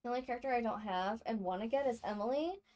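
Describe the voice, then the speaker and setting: A woman's voice: fairly high pitch, fast talker, darkish tone. A woman livestreamer giving casual, chatty gameplay commentary to her viewers, speaking off the cuff. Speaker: game livestreamer.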